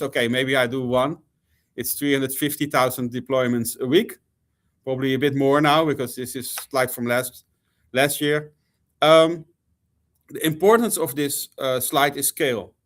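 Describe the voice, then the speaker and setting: A man's voice: medium pitch, fairly bright tone. Dutch-accented man giving a hesitant talk. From Netherlands. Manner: hesitant, many fillers and restarts. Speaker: conference speaker. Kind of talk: presentation talk.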